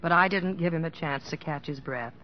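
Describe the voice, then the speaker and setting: An actress playing a devoted, gently concerned American wife in an old-time radio drama, tender and earnest. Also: female voice, low voice, a little fast, natural voice. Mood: wistful. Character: devoted, gently concerned wife telling her husband's story. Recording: muffled old radio recording with music.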